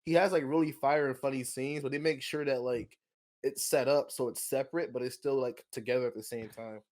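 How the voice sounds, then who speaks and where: A man co-hosting a podcast, talking casually and conversationally with lots of slang and animated opinions. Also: mid-range voice, a little fast. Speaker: podcast co-host. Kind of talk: casual movie discussion chat.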